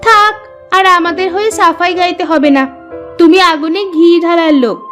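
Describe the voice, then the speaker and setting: A woman's voice: very high voice, moderate pace, a bit bright, soft background music. A woman voicing a vain, lazy, snappy young village girl in a cartoon, with a whiny, dismissive manner. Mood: annoyed. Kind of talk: animated moral-story cartoon dialogue.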